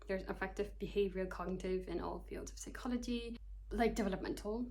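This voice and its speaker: A woman's voice: mid-range voice, fairly quick, a little mellow, a little hiss or hum. A young woman from Kyrgyzstan in her twenties, a psychology student, chatting casually to camera, joking at herself and loosely reading from her notes. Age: 20s. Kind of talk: casual talking-to-camera vlog.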